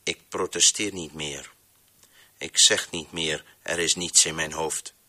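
An actor playing a defiant, embittered man who controls the voices in a radio play, speaking in an emphatic, measured monologue. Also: very deep voice, steady tone, bright, forceful tone, heavily acted. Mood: bitter, resigned. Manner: emphatic, measured, swinging between proclamation and muttering.

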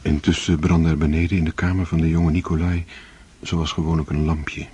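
An actor playing a solemn, measured literary narrator in a radio drama, with a husky voice. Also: male voice, level tone, warm tone, lo-fi sound, heavily acted. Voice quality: deep, breathy.

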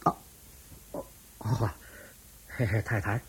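A man playing a kindly, worried older master of a wealthy household in a Cantonese radio drama, sighing and measured, with a Hong Kong accent. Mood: troubled.

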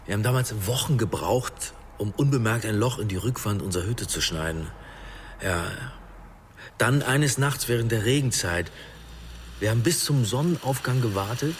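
A man's voice: lowish voice, lively intonation, normal speed, slight background noise, lightly acted. A man playing a reflective, guarded survivor in a conversational radio drama. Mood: haunted, reflective. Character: reflective, guarded male jungle crash survivor.

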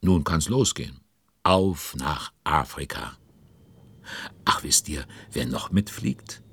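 A man narrating a children's audio drama, chatting chummily to young listeners in a husky voice. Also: a bass voice, expressive, slow pace, fairly bright tone, a little hiss or hum. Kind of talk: scripted storytelling for children.

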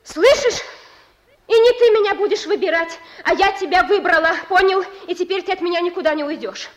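A high-voiced young woman in love in a Russian radio play. She is devoted and stubborn, and speaks in plain colloquial phrases that both plead and insist. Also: brisk. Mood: fiercely determined. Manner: pleading yet resolute, colloquial. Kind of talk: Russian radio drama acting.

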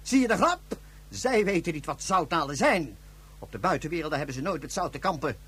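High, husky, crafty rebel leader. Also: male voice, expressive, brisk, fairly bright tone. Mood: gleeful scheming. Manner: emphatic, repeating key words. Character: excitable male guerrilla leader, crafty and daring. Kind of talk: Dutch science fiction radio drama acting.

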